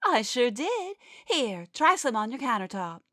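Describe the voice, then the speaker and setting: Perky high-voiced commercial housewife, acted by a woman, pushy, exaggerated retro pitchwoman, dry sound. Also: sing-song, measured pace, sharp tone, dry recording, full character voice. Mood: perky, selling. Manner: bubbly, exaggerated salesmanship. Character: perky, pushy housewife selling miracle cleaning powder in parody advert. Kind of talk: old-time commercial acting.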